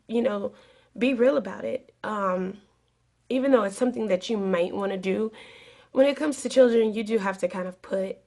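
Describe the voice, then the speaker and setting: Woman, raspy, gravelly, recovering from a cold, casual vlog, rambling, mid-pitched. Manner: rambling, earnest, with many fillers. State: getting over a cold. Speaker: flight attendant vlogger.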